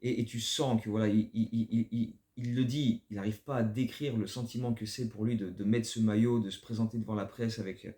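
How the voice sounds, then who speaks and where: A man's voice: on the low side, steady tone, a little fast, few pauses, dark tone, roomy sound. A man hosting a basketball fan channel, giving casual spoken commentary that rambles and often stumbles over repeated words.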